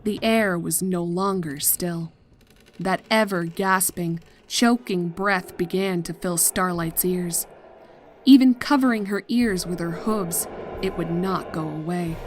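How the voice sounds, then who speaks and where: A woman reading a scripted horror story as narrator, in a measured and deliberate dramatic style. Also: lowish voice, a little hiss or hum. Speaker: fan fiction narrator.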